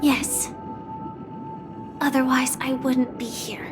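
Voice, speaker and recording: Young female warrior, gentle, solemn, slow deliberate pace, video game drama, music behind. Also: high-pitched, steady tone. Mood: determined farewell. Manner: earnest, hushed, deliberate, wistful delivery.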